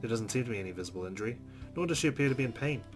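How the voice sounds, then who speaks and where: A man's voice: mid-range voice, animated, racing. A man reading visual novel narration aloud as a streamer, stumbling now and then and dropping in amused asides. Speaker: let's play streamer. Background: guitar music from the game.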